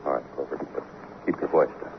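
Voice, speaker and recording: Grief-struck radio-drama husband: low, detached, tender yet curt, on dull vintage audio. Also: male voice, steady tone, fairly quick, noisy background. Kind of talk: old-time radio drama acting.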